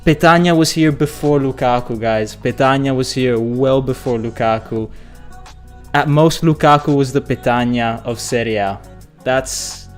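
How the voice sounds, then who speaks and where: A man hosting a live football fan stream, chatty and excitable, hoarse and losing his voice as he reads viewer comments. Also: medium pitch. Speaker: football channel host.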